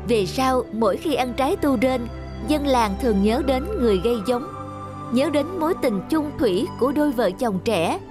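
A woman's voice: sits high, expressive, moderate pace. A woman with a Southern Vietnamese accent narrating a scripted folk tale. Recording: music behind the voice.

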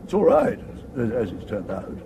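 An actor playing an absent-minded, irritable old earl in a radio comedy, halting and stammering, with an upper-class English accent. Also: male voice, lowish voice, wildly swooping pitch, gravelly, heavily acted. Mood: flustered, peevish. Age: older. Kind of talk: 1980s radio comedy acting. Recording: noisy old radio drama recording.

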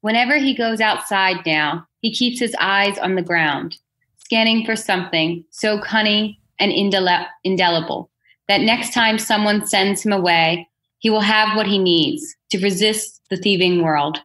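Woman with a mid-pitched voice discussing poetry. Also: measured pace, fairly bright tone. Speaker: podcast panelist. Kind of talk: poem reading and literary discussion.

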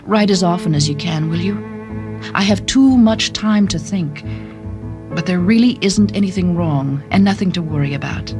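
A woman's voice: lowish voice, moderate pace, close to an everyday voice. A woman playing a young, affectionate mother who reads out her chatty, wry letters in a dramatized short story. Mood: tender, reassuring. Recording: studio reading over background music. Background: music.

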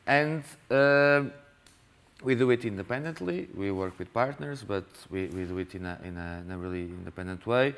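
A man in his thirties leading a masterclass in a classroom, talking haltingly with frequent restarts. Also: lowish voice, lively intonation, clear, resonant tone. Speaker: workshop leader. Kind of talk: masterclass talk. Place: classroom with projector.

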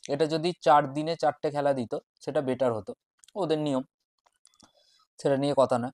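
A man in his twenties with a West Bengal Bengali accent, chatting casually to camera in short, halting phrases as a fitness vlogger. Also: on the high side, fairly even intonation, a little mellow, no echo, studio quality. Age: 20s. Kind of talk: casual vlog chat to camera. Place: small bedroom at home, indoors. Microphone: wireless lapel mic.

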